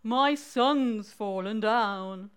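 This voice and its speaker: A woman voicing a kindly mother mole, drawn-out and deliberate, in a rhyming children's story. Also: a little high, sing-song, fairly bright tone, exaggerated voice. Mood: worried.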